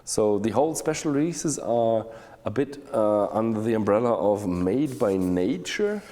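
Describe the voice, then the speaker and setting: A man's voice: fairly low pitch, expressive, a little slow, mellow. A man hosting a casual interview, introducing his guest and asking questions. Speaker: host interviewer. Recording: long echoing reverb.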